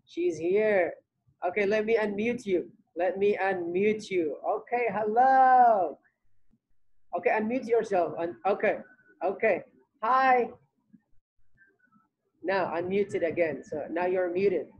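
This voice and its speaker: A man's voice: high-pitched, takes it slowly, long pauses, dull audio. A young man leads an online English lesson from his home through a laptop mic. He talks loosely, repeating words and filling gaps as he goes. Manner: repeats himself, many fillers. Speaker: English teacher hosting online class. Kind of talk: casual online class talk. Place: home room on video call.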